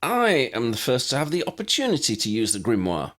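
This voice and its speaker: A man's voice: mid-range voice, huge pitch swings, a little fast, fairly bright tone, voice pushed up. A man voicing an imperious, scornful young witch villain in a fantasy audiobook, snappish and sneering. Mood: defensive. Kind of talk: fan-fiction audiobook character voice.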